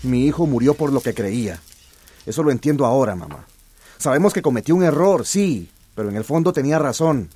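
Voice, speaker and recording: A man's voice: mid-range voice, expressive, brisk, warm tone, breathy edge, no echo. A man playing an earnest, indignant middle-aged villager and grieving father in a radio drama. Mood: defiant. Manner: earnest, aggrieved, plain-spoken.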